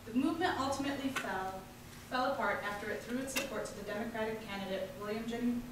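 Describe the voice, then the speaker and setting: A woman's voice: fairly high pitch, quick pace. A woman narrating at an event, reading a scripted historical introduction aloud. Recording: very echoey hall, distant, noisy.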